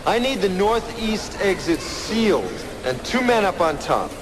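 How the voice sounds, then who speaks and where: An actor playing a smug, condescending security chief in a crime audio drama, brusque and self-important with veiled menace. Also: male voice, sits high, sing-song, a little slow, background noise. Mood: barking orders.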